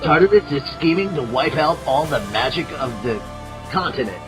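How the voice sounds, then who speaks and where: A man hosting a fan podcast, reading narration aloud in a quick, amused way. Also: fairly high pitch, lively intonation, over music. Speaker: podcast host.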